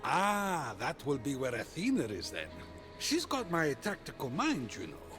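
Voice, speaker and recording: A man's voice: a little high, huge pitch swings, a little slow. An actor playing a boastful, wisecracking male god in a comedic video game, sarcastic and theatrical, with music behind him. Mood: smug.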